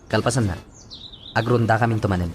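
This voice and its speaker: A man's voice: lowish voice, fairly even intonation, a little fast, soft background music, close to an everyday voice. A man with an Ilocano accent playing an earnest, dutiful policeman in a radio drama. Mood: grateful, dutiful.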